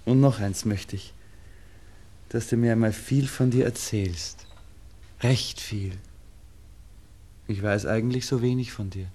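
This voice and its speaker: An actor playing an affectionate, melancholy young gentleman in a radio drama, soft and intimate, with a Viennese accent. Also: fairly low pitch, unhurried, pauses often. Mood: tender, intimate. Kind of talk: German-language radio drama acting.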